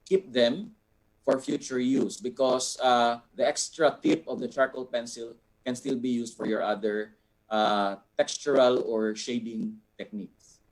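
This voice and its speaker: A man with a Filipino accent teaching art informally, answering questions in a deliberate, explanatory way and pausing to find his words. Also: medium pitch, steady tone, low-quality recording.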